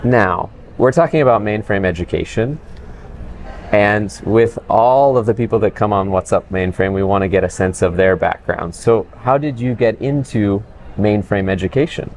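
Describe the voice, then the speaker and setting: A man's voice: on the low side, moderate pace, a little mellow, slight background noise. A man hosting an interview podcast, talking conversationally and encouraging his guest. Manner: conversational, encouraging, frequent backchannels. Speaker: host. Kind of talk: casual interview.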